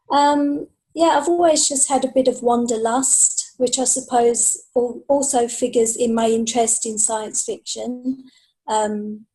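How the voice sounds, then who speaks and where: Slow-spoken British woman, soft mellow voice. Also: a little high, slightly rough, poor audio. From Britain. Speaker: podcast guest, science fiction author. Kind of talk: casual interview conversation.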